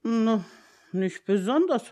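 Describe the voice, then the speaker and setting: A woman's voice: on the high side, animated, slow pace, warm tone. An actress playing a nosy, blunt, gossipy landlady in a German radio play. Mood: blunt, disapproving. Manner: scolding, gossipy.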